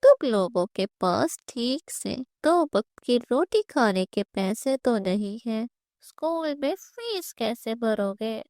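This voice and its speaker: A woman acts as a prying, scornful neighbour lady from a cartoon tale. The voice is fairly high, with slow, suspicious delivery. The recording is dry. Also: very animated, a little mellow, dry recording, full character voice. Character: nosy, suspicious neighbour woman, disdainful of poor children. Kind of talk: cartoon moral story dialogue.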